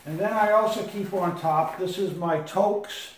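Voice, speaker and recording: Older man showing camping gear, roomy. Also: high voice. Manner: rambling, halting with many fillers and restarts. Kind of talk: casual gear walkthrough. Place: sunny living room with big windows. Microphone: camera across the room. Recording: roomy, from far off.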